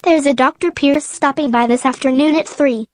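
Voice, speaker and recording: A woman's voice: high voice, fairly even intonation, moderate pace, darkish tone, no echo, studio quality, natural voice. A woman playing an anxious, businesslike department store executive in a radio drama. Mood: worried. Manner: earnest, worried.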